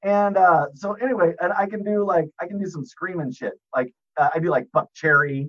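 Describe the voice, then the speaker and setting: A man's voice: high-pitched, steady tone, fairly quick, highs cut off. A man on a podcast as a guest, telling rambling, profane stories and laughing at his own jokes. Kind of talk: casual podcast chat, storytelling.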